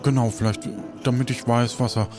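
A man playing an eager, flustered former tavern keeper in an improvised audio play, with a halting, stammering delivery. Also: medium pitch, level tone, music in the background, close to an everyday voice.

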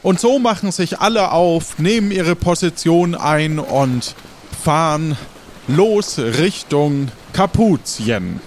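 A man's voice: a little high, lively intonation, slow, slight background noise. A man hosting and narrating an improvised audio drama podcast in a casual way.

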